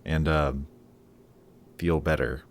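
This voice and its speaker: A man's voice: extremely low pitch, fairly even intonation, takes it slowly, slight background noise. A man playing a guarded supervillain in a roleplay audio drama, sardonic and awkward whenever he shows that he cares. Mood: guarded, boastful. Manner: sardonic, hesitant when showing care. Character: gruff, guarded male supervillain, secretly caring, sardonic.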